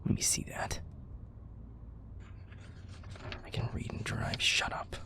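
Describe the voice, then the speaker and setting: A man playing a bitter, cynical werewolf pack enforcer in an audio roleplay, with a husky, gruff, confrontational delivery. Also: normal speed, in a whisper, lightly acted. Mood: startled, tense. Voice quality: gravelly, husky, breathy. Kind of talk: scripted audio roleplay acting.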